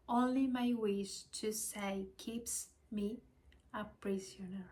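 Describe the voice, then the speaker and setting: A woman whose first language is Spanish, with a Spanish accent, reading spiritual lessons slowly and hesitantly, adding her own reflections. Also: mid-range voice, a little hiss or hum, poor audio. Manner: hesitant, apologetic, with small laughs and restarts.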